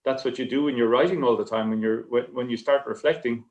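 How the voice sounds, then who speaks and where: A man with a medium-pitched voice chats on a writers' panel. He is understated and rambles a little, halting and restarting as he reflects. The sound is somewhat dull and lo-fi. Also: fairly even intonation, a little mellow. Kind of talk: casual, reflective panel conversation. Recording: slightly dull, lo-fi connection.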